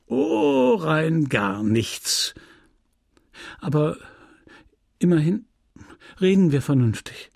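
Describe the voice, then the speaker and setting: Male narrator as merciless merchant, sly, smug, sarcastic, raised pitch, gravelly edge, literary audiobook. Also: lively intonation, measured pace, dry recording, clean studio sound, full character voice. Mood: dismissive. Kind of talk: dramatised audiobook reading of a literary novel.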